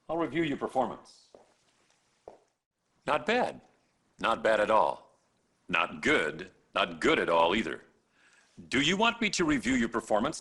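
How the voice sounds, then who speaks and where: Sarcastic game hospital boss, lively intonation, rough-edged voice, theatrical pauses, condescending. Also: male voice, mid-range voice, full character voice. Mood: dry, condescending. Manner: sardonic, theatrical, deliberate pauses for effect. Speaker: hospital supervisor. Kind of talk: acted video game cutscene.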